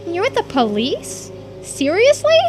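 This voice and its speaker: A snappy, suspicious townswoman from a mystery game, acted out by a woman streamer, pitched high and swinging sharply. She is defiant and dismissive, with music underneath. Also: wildly swooping pitch, a little slow, heavily acted.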